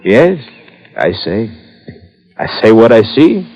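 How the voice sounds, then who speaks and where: Casting: male ex-tennis champ chauffeur, sly and jovial, deepish voice, old radio drama, dulled sound. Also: sing-song, darkish tone, heavily acted. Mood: sly, insinuating. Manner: chuckling, halting, foreign phrasing. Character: genial, sly, fat South American ex-tennis champion chauffeur. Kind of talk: old-time radio detective drama acting. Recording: muffled old-time radio recording.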